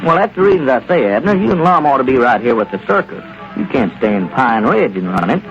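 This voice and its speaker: An actor playing a plain-spoken country friend with chuckling asides in a 1930s radio comedy. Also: male voice, medium pitch, very animated, quick pace, soft background music. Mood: friendly. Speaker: townsman Dick. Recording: lo-fi old radio broadcast.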